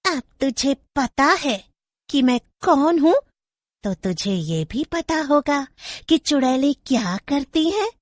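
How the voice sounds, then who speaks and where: A woman's voice: high voice, expressive, dry recording, voice pushed up. A woman voicing a menacing, falsely sweet witch servant with a gloating, cackling manner in a horror story. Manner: cackling, drawn-out threats.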